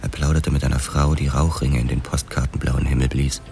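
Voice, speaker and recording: A male actor playing a cool young boxer cop, narrating his own story in a measured, understated noir style. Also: a bass voice, level tone, gravelly, over music. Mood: cool, watchful. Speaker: actor and narrator in a radio drama. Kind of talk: first-person noir narration.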